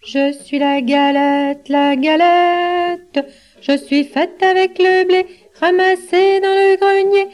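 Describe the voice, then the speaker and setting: A woman's voice: very high-pitched, a little mellow, voice pushed up, exaggerated voice. A woman voicing a proud, cheeky little runaway galette in a storybook, with a small, lilting, singsong voice. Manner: lilting, teasing.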